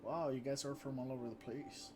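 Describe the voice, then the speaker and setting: A man's voice: medium pitch, fairly even intonation, brisk, heavy background noise. A man from Mexico, a Spanish speaker, chatting casually with viewers and reading out their comments while live-streaming a game. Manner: chatty, reads out viewer comments, frequent fillers and restarts. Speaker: live streamer and channel moderator. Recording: game music playing loudly underneath. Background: game music.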